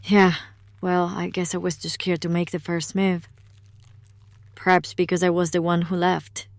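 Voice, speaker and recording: Woman acting as ritual-reading heroine, audio drama, lowish smooth voice, wry, guarded, explanatory. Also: flat delivery, a little slow, dry recording. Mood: subdued, confiding.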